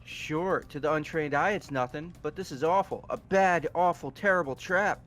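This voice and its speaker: A man's voice: fairly high pitch, moderate pace, fairly bright tone, faint music behind, natural voice. A man voicing a panicky, superstitious young fortune-teller in a video game, dramatic and alarmist. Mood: ominous worry. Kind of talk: amateur visual novel voice acting.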